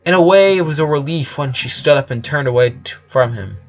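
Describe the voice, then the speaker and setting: Higher-pitched man narrating a dramatic story. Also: animated, fairly quick. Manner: occasional stumbles over words. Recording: slightly dull lo-fi recording.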